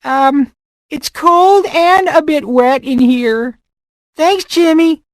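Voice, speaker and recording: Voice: sits high, slow, no echo. An actor voicing a timid, whiny young cartoon boy in a game, with a nasal, wheezy voice and an anxious, hesitant manner. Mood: awkward.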